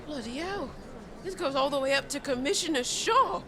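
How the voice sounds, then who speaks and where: High-voiced fiery street girl. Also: expressive, normal speed, crowd noise. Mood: astonished. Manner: defensive, intense. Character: fiery, loyal street girl determined to free her mother. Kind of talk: audio drama acting. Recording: noisy outdoor street ambience.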